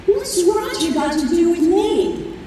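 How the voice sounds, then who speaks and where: A woman plays a protective, outspoken young daughter in an old-time radio drama. Her voice is high with a dark, mellow tone, and the live room rings with echo. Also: lively intonation, slow, slight background noise, exaggerated voice. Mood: pointed. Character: blunt, protective twenty-two-year-old daughter. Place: convention room stage performance.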